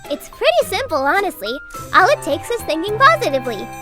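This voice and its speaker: A woman's voice: very high-pitched, very animated, a little fast, over music. A woman playing a perky, upbeat young herbalist girl in a fan audio drama, earnest and encouraging. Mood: cheerful, encouraging.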